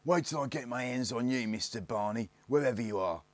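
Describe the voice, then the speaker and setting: A man voicing the eldest boy of a rough gang in a storybook, threatening and slangy. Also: medium pitch, fairly quick, no room sound, voice pushed up, close to an everyday voice.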